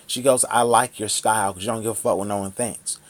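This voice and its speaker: A man's voice: fairly low pitch, steady tone, brisk. A man in his thirties ranting angrily to the camera in a vlog, swearing a lot and repeating himself. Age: 30s. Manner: angry, profane, repetitive ranting. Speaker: vlogger.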